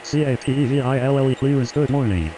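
A man's voice: medium pitch, quick pace. A man speaking clipped, scripted air traffic radio phraseology.

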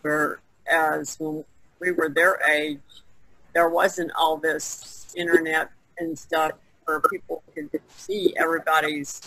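A middle-aged woman with a raspy, creaky voice, chatting casually among fellow artists. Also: deep voice, fairly bright tone, rough recording. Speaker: artist on a podcast panel. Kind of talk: casual chat. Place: online video conference call.

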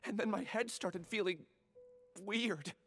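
Shaken, creaky-voiced young victim. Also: male voice, high-pitched, a bit bright. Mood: shaken, urgent. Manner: anxious, halting. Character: frightened, shaken young male kidnapping victim. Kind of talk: dramatic video game dialogue.

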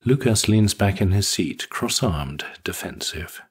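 A man reading scripted audiobook narration in a raspy voice. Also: on the low side, lively intonation, a little slow.

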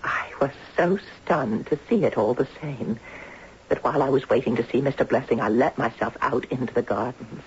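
A woman's voice: a bass voice, normal speed, a little mellow. An actress playing a refined, wistful lady in her sixties, telling her story genteelly in a radio drama. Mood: nostalgic. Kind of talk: 1970s radio drama acting. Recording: dull, noisy 1970s radio recording.

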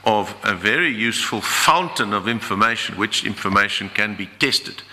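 A man's voice: lowish voice, expressive, moderate pace, pushed and bright, in a large hall. An older man making a formal legal submission at a desk microphone in a hearing room.

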